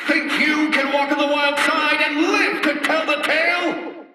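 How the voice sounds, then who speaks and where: An actor playing a bombastic, bloodthirsty monster-arena announcer in a video game, shouting showily in a big echoing space. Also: male voice, very high-pitched, steady tone, full character voice. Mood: bombastic, gleeful. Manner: shouting, slow and showy.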